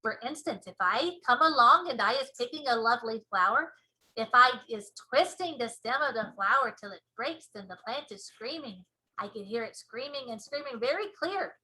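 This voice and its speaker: A woman's voice: fairly high pitch, animated, normal speed, bright, forceful tone, low-quality recording. A woman voicing a big, proud, earnest male giant from a storybook, speaking emphatically in folksy muddled grammar with whimsical invented words.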